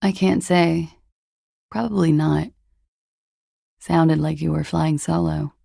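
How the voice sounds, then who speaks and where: An actress playing a cool, guarded young woman in a video game, with a husky, breathy, gravelly voice and a languid, dryly understated delivery. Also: low-pitched, steady tone, slow, dark tone, no echo, heavily acted. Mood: cool, matter-of-fact. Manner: languid, dry, wryly understated. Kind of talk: video game character dialogue.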